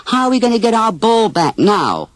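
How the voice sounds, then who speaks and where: A man's voice: high-pitched, very animated, moderate pace, no room sound, voice pushed up. A man voicing boisterous, bossy schoolboys in a children's storybook. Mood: grumbling. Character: boisterous schoolboys playing cricket, bossy and blunt.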